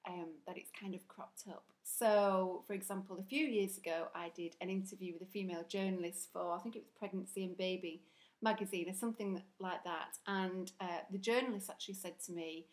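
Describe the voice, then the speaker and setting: A woman's voice: on the low side, steady tone, a little fast. A woman from Northern England with a broad Northern English accent, talking earnestly to camera in a chatty vlog with frequent ums. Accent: broad Northern English, Rochdale and South Yorkshire mix. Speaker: vlogger. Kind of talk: casual talk to camera.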